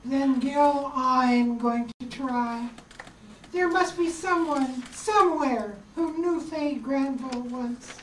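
A woman's voice: high voice, slow pace, slight background noise. A woman playing a determined, earnest heroine in an old-time radio soap opera. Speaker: amateur performer in a radio play reenactment. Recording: echoey room, distant camera mic.

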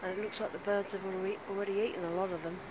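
A middle-aged woman talks casually while she walks outside, pointing things out as she goes. She speaks into a phone held close to her face. Clear outdoor hiss and wind sit under her voice. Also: medium pitch, fairly even intonation, very fast, highs cut off. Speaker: vlogger. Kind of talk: casual walk-and-talk vlog. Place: outdoors on a grassy field by bushes. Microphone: phone camera held close.

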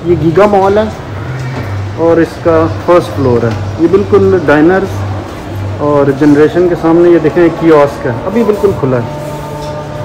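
High-voiced man pitching property. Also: poor audio. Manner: salesy, persuasive. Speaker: real estate marketer. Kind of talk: walking property tour commentary. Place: outdoors at a construction site, then inside a shopping mall.

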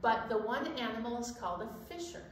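A woman's voice: a little high, brisk. Middle aged lady doing kids storytime host talk, mic far off, bit of noise behind her. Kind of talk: children's storytime chat. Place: indoor storytime set at a library. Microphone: distant camera microphone. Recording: roomy, distant mic, steady background noise.

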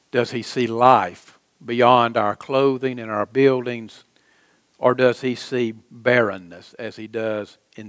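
A middle-aged American man preaching a sermon as a pastor, speaking in an emphatic, deliberate manner. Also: medium pitch, no echo. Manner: emphatic, deliberate, with rhetorical questions and repetition. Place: church sanctuary, preaching from a lectern.

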